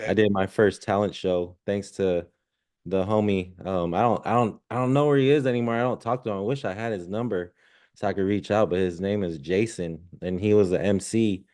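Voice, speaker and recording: Laid-back man with smooth low voice. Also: fairly even intonation, fairly quick, darkish tone. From born in Zambia, raised in Washington state. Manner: laid-back, slangy, says man and dude often. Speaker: guest musician and restaurant owner. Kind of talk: casual storytelling.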